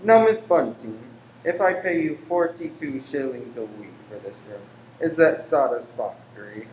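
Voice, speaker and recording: An actor in a radio play playing a weary, pious, secretive lodger who is formal, exacting and easily angered. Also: male voice, medium pitch, expressive, a little slow, darkish tone, natural voice. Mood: formal, businesslike. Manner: formal, exacting, abrupt then apologetic. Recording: roomy echo with faint background noise.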